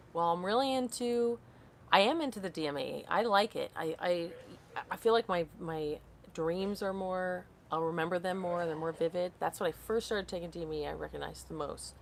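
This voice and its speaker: A woman's voice: lowish voice, moderate pace, gravelly, a little hiss or hum. Rambling woman in her thirties. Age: 30s. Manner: rambling, reads comments aloud and loses her train of thought. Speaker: skincare vlogger hosting a live stream. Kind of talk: casual live-stream chat, answering viewer comments.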